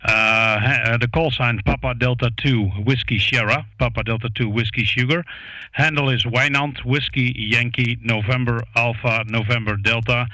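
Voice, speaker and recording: A man with a Dutch accent, a native Dutch speaker, making contact as an amateur radio operator, speaking English haltingly with many hesitations. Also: medium pitch, fairly even intonation, sharp tone, dry recording, low-quality recording. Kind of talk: ham radio contact chat. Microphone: close studio broadcast microphone.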